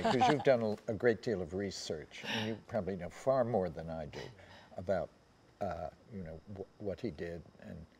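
Old guy in his 70s on a panel, soft dark tone, low, halting, reminiscing. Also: a little hiss or hum. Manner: halting, tentative. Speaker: panel guest. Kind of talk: conversational reminiscence. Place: theatre stage, seated panel.

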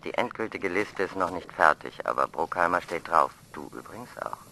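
An actor playing a calm male space agency official in a radio play, speaking dryly. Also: low-pitched, normal speed, slight background noise, exaggerated voice, poor audio.

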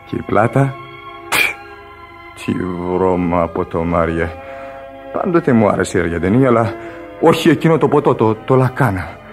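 A man acting as a dying sailor in a Greek radio drama, with a lowish voice. He is weary, feverish and full of regret, and his halting words drift toward whispers. Faint music lies behind him. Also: animated, lightly acted. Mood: hazy nostalgia. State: weak, in pain. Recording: radio play with music underneath.

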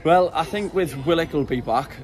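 A man's voice: fairly high pitch, moderate pace. A young man with a Geordie accent, chatting as a football fan in a street interview, saying "aye" and "like" a lot. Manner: chatty, peppers speech with "like" and "aye". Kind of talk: street vox pop. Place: city street outdoors. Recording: street noise behind.

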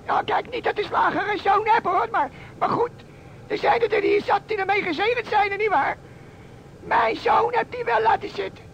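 A man's voice: fairly even intonation, normal speed, fairly bright tone, exaggerated voice. A man voicing a deferential, rambling police sergeant and grumbling father in an old radio play. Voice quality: very high, creaky. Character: coughing, deferential small-town police sergeant, high-voiced, grumbling father. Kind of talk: vintage Dutch radio play acting. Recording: dull, noisy old radio recording.

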